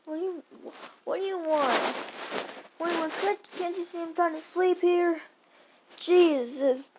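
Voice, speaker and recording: A young performer playing a grumpy, tired father who scolds his son in a homemade skit, gruff and repeating his orders. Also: very high voice, a little slow, noisy background.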